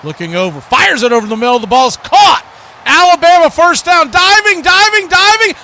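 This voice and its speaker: An American man calling live football play-by-play in excitable, clipped phrases. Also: very high-pitched, moderate pace. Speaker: play-by-play announcer.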